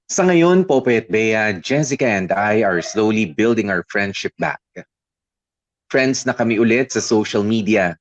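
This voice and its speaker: A reflective letter writer. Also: male voice, fairly low pitch, no room sound, muffled recording. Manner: reflective, heartfelt. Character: reflective man reading his letter aloud. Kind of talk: scripted letter narration.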